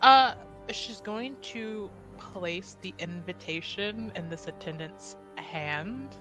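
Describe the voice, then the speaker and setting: A woman's voice: lowish voice, animated, slow pace, a bit bright, soft background music, rough recording. A woman chatting casually as a player in a tabletop roleplaying game.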